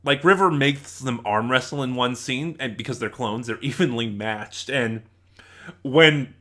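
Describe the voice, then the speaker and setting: A man giving a casual, unscripted review in a bright, forceful voice, speaking enthusiastically and emphatically with a halting flow. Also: medium pitch. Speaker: video reviewer.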